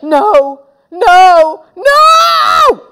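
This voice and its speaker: A woman's voice: high voice, a little slow, pitched above the performer's own voice. A woman voicing an earnest, easily wounded little boy in a children's audiobook, whiny, proud and indignant. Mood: wailing.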